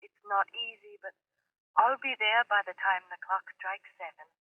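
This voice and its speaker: A woman's voice: medium pitch, normal speed. A woman playing a dead woman's ghost over a telephone, sweetly endearing and ominously possessive, in vintage radio suspense style. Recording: tinny telephone sound on old radio.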